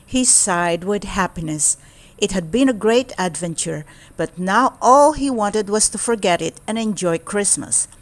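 A woman storyteller reading a children's storybook aloud in a deliberate, expressive way. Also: medium pitch.

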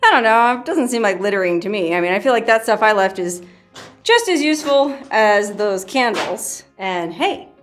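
A woman's voice: mid-range voice, animated, normal speed, a bit bright. A woman in her thirties talks casually to the camera she holds at arm's length while poking around a rock cave. She chats playfully, laughs often and makes up silly stories as she goes. Faint music plays underneath. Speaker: solo outdoor adventure vlogger. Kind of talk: casual vlog narration while exploring. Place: outdoors, inside a rock cave and cabin in a canyon. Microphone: handheld camera at arm's length.

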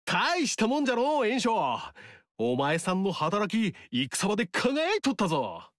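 An actor playing a cheeky, folksy upstart warlord in a video game, speaking in a rustic dialect. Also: male voice, high voice, wildly swooping pitch, a little slow, fairly bright tone, no room sound, exaggerated voice. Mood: teasing. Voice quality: rustic dialect speech, teasing. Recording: dry studio recording.

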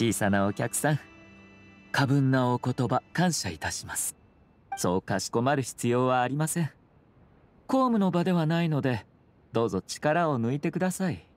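A man plays a suave young lord in a video game. His is formal and courteous, at a middling male pitch, with a faintly wry composure. Music plays faintly behind him. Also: expressive, lightly acted. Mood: courteous, composed. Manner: polite, measured, formal.

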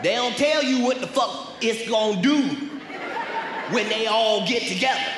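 A man doing a stand-up routine on a large theater stage with a handheld mic, loudly shouting his punchlines. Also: very high voice, normal speed, background noise. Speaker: stand-up comedian. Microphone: handheld microphone. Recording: roomy hall with loud crowd.

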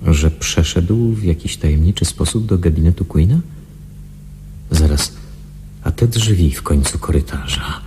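A man's voice: sits low, a little slow, dark tone, slight background noise. An actor playing a suspicious secret investigator in a radio drama, brooding and questioning as he thinks aloud. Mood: watchful, puzzled. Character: suspicious male secret investigator posing as a patient. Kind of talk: radio drama acting with inner monologue.

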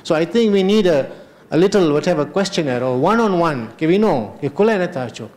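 A man's voice: a little high, expressive, fairly quick, darkish tone. Middle-aged man with a Kenyan Memon accent. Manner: chatty, mixes Kutchi phrases into English. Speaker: panel moderator. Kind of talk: unscripted panel discussion. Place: large hotel conference hall. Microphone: handheld mic.

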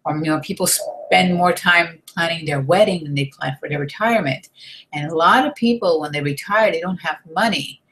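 A woman's voice: fairly low pitch, normal speed, fairly bright tone, poor audio. A woman in her thirties, from the United States, giving an earnest, encouraging talk to her webcam at home, often restarting her sentences. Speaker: online marketing vlogger. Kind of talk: motivational talk to camera. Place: home room with desk and shelves, indoors. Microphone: webcam at desk.

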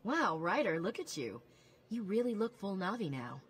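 Urgent human teammate in a game, husky mid voice, brisk. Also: female voice, medium pitch, sing-song. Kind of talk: video game dialogue acting.

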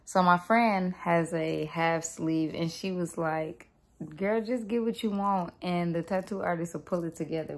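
Chatty young woman vlogging at home. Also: medium pitch. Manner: rambling, upbeat, speaks blessings over viewers. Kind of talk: casual vlog chat to camera. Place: home room, indoors.

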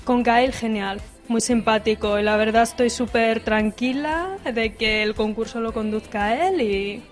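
Upbeat woman with a higher voice. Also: unhurried, over music. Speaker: casting applicant. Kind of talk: casual interview answers.

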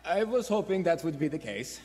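An actor playing a nervous, shifty middle-aged husband, hesitant and stammering, in a radio play. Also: male voice, fairly high pitch, sing-song, fairly quick, a little mellow. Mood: uneasy. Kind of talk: stage radio play acting. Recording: echoey theatre hall.

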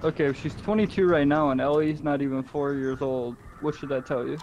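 A man's voice: a little high, fairly even intonation, brisk, darkish tone, lo-fi sound. A man talking casually during a game, in slang with some swearing. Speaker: gamer in a squad voice chat. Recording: slightly noisy game voice chat.

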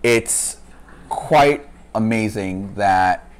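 A middle-aged man talking casually to camera in a home review, halting and reflective, in short pausing phrases. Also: lowish voice, clean tone. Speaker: hobbyist vlogger, toy building reviewer. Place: room at home.

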